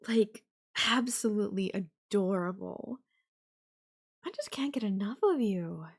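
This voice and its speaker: A woman playing a possessive, doting young yandere girlfriend in an intimate audio roleplay, speaking coyly and affectionately with lingering pauses. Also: mid-range voice, expressive, vocal fry, husky, exaggerated voice. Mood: doting, wistful.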